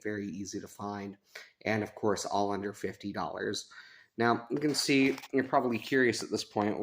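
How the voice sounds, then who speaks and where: A man with a fairly low voice and a lot of creak gives a casual hands-on review. He talks in a chatty, rambling way with a self-deprecating streak, like a hobbyist reviewer. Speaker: radio reviewer. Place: sunroom at a house.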